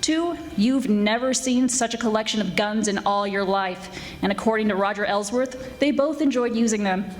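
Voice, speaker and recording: A woman playing a witty, tough private detective in a 1940s-style radio drama, with brisk wisecracking narration. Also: medium pitch, a little hiss or hum, natural voice, low-quality recording. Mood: explanatory.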